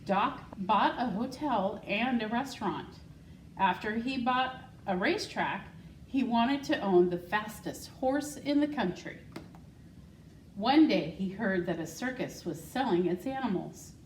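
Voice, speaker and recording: Slow-reading woman in a roomy, distant recording. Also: mid-range voice, animated. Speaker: school secretary, guest storybook reader. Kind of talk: reading a children's picture book aloud. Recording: roomy, distant mic, faint noise.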